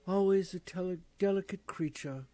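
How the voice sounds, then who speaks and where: A man voicing a solemn ghost in a storybook reading, speaking softly and gravely. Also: high voice, moderate pace, dry recording, pitched above the performer's own voice, close to an everyday voice.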